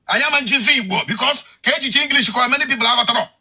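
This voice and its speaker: A man's voice: very high-pitched, racing, pushed and bright, slightly rough. A man who works as an Igbo-language broadcaster, making an urgent, repetitive appeal that switches between Igbo and English.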